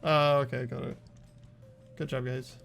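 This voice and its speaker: A man's voice: mid-range voice, a little slow, creaky, music in the background. A man hosting a game playthrough with friends, chatting casually and thinking aloud. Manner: relaxed, easygoing, thinking aloud. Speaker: host playing a game with friends. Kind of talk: casual gameplay chat.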